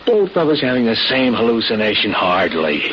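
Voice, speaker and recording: An actor playing a steady, determined castaway who takes charge in an old-time radio drama, speaking deliberately. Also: male voice, fairly low pitch. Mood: tense, hushed. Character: steady, determined man stranded on a tropical island. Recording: noisy, muffled vintage radio recording.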